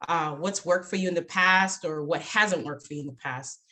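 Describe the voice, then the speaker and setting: A woman's voice: on the low side, moderate pace. Chatty woman leading a finance call. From Zimbabwe. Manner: relaxed, chatty, many fillers. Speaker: workshop presenter. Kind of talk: casual online finance talk. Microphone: video call.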